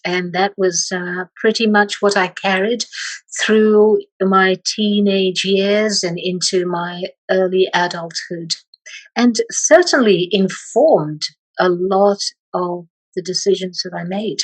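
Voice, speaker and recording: A middle-aged woman from Malaysia, a meditation teacher speaking as an interview guest, slow and hesitant with many pauses and restarts. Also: medium pitch, no room sound, poor audio. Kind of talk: reflective interview talk.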